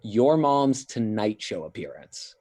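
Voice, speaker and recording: A man reading the part of an excited relative revealing a family secret at an online table read, sounding eager. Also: on the low side, slow pace, full character voice.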